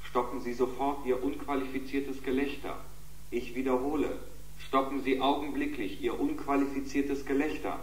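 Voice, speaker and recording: An actor playing a stern, officious spaceship announcer in a radio drama, giving bureaucratic, commanding public announcements. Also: male voice, medium pitch, flat delivery, normal speed, natural voice. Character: stern officious ship announcer forbidding all merriment. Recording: distant echoing announcement with hum.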